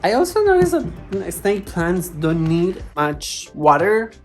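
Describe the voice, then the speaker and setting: A woman chats casually to camera as a vlog host, her voice on the lower side. She is playfully dramatic and given to exclamations. Light music plays behind her. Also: sing-song, slow. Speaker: plant vlogger, host.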